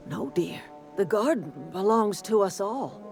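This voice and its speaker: A woman's voice: medium pitch, lively intonation, measured pace, darkish tone, faint music behind. An actress playing a kindly, plain-spoken older woman who leads a garden commune in a video game, with a folksy, motherly manner. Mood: gentle, folksy. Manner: folksy, motherly, calls people dear. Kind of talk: video game character acting.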